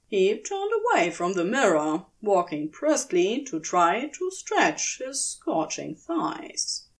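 A woman reading scripted fan fiction as narrator, in a measured, expressive storytelling manner. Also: mid-range voice.

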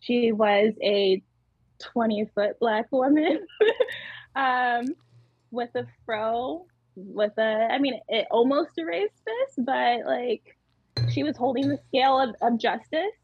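Tiny-voiced giggly woman, slightly high. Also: lively intonation, slow pace, slightly rough, no room sound, dull audio. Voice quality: small, tiny voice. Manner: giggly, hesitant with many fillers. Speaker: muralist guest. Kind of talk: casual interview chat.

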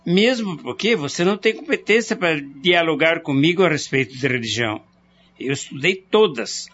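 Male actor as smug well-off husband, radio play, animated and mocking, a bit muffled. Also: a little high, normal speed, a little hiss or hum. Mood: lecturing. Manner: lecturing, sarcastic, animated. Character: wealthy husband Noronha, middle-aged, argumentative and lecturing.

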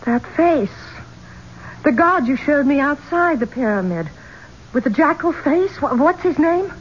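A woman's voice: high voice, animated, a little slow. An actress playing an adventurous, brooding and curious woman trapped in a tomb, in theatrical, portentous 1970s radio drama style. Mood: anxious. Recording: dull, noisy old radio broadcast.

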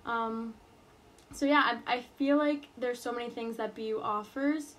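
A woman's voice: a little high, a little slow. A young woman in college from New York, answering interview questions casually with lots of fillers and restarts. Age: college student. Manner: hesitant, many fillers and restarts.

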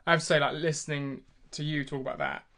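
Brief: young British man, higher voice, jokey friendly interviewer. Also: steady tone, fast, sharp tone. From Britain. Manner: playful, laughs easily. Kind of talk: casual chat.

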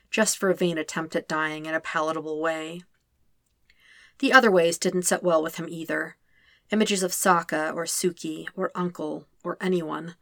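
Female narrator, low register, subdued and measured delivery, dry booth-like sound. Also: creaky, a bit bright, dry recording. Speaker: fanfiction reader. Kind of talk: scripted narration of a podfic.

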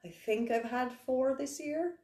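A woman's voice: high-pitched, unhurried. Irish woman chatting candidly, roomy sound. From Ireland. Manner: sweary, self-mocking, animated storytelling. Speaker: podcast host. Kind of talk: casual, candid comedic chat. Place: indoor room, seated on a couch.

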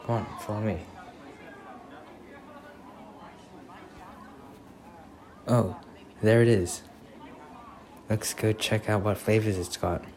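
Low, slow, gentle boyfriend, acted by a man. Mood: soft, tender. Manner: hesitant, halting, reassuring. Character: gentle young boyfriend on an amusement park date. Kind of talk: ASMR boyfriend roleplay, soft-spoken. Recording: crowd ambience and noise behind voice.

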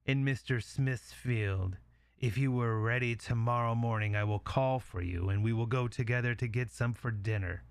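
A man voicing a sly, hungry wolf in a storybook, with a slow, drawn-out, coaxing delivery. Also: mid-range voice, gravelly, smooth, no echo, lightly acted. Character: sly, hungry male wolf trying to trick a pig.

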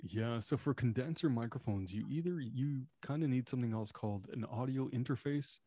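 A man's voice: mid-range voice, brisk, warm tone, no room sound. Jokey French-native man, dry mic. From Canada. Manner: joking, explains gear at length. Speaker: podcast host. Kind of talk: casual interview chat. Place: remote video call. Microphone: dynamic podcast microphone.

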